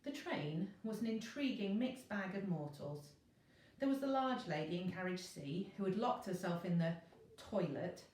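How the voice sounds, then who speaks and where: A woman, a teacher, reading a children's novel aloud in an expressive storytelling style. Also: lowish voice, a little hiss or hum. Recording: roomy echo, distant microphone.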